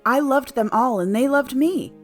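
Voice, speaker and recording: A woman playing an earnest, excitable animal-loving veterinarian in an audio play, with expressive, theatrical delivery. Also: high-pitched, moderate pace, soft background music, lightly acted. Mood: wistful.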